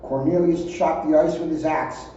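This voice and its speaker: A man's voice: fairly high pitch, fairly even intonation, moderate pace, dark tone. Muffled, echoey man reading a children's storybook. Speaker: police officer reading aloud. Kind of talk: children's storybook read-aloud with ad-libbed asides. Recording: muffled, very echoey, distant, faint noise.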